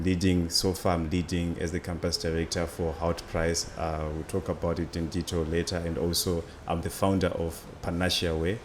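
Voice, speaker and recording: A young man with a Zimbabwean accent answers as an interview guest in an easy conversational, storytelling way. His voice is deep, and a light noise runs behind it. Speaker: interview guest, student entrepreneur.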